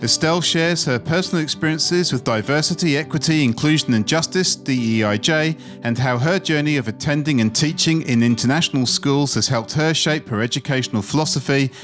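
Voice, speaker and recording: A man's voice: fairly high pitch, fairly quick, hardly any pauses, fairly bright tone, soft background music. A man hosting an interview podcast, giving scripted introductions and putting questions to a guest.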